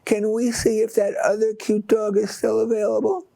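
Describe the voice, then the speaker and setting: A man's voice: level tone, creaky, mellow, no echo. A man voicing an emotional young girl who pleads in a storybook reading. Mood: eager pleading. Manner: high, drawn-out, pleading.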